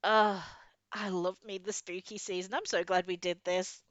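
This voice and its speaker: A woman's voice: mid-range voice, level tone, normal speed, dry recording. A woman co-hosting a podcast, chatting about books in an effusive, informal way. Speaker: podcast co-host. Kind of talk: casual book chat.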